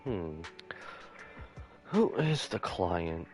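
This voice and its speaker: Male game streamer, deep voice, very lively intonation, playful casual commentary over music. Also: very slow. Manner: playful, slangy. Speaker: game livestreamer. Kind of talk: casual playthrough commentary, reading dialogue aloud.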